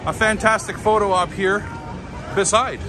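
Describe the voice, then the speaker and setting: A man's voice: high-pitched, fairly even intonation, normal speed. Chatty man touring a busy street. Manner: chatty, upbeat, many fillers. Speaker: walking tour guide. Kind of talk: casual walking tour commentary. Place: busy city street outdoors. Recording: street crowd and music behind. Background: street crowd and music.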